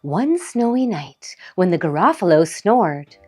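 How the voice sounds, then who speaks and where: A woman narrating a storybook for young children, expressive and deliberate. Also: lowish voice, breathy edge, soft background music. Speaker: children's read-aloud host.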